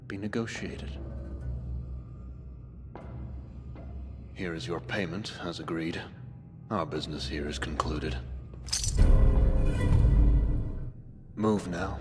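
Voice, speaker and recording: Man playing a deep-voiced, pompous, menacing keeper. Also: natural voice. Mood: commanding, contemptuous. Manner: pompous, formal, measured, menacing. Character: cold, domineering male keeper, a zealot slaver. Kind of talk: dramatic video game dialogue. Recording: long reverb, loud music behind.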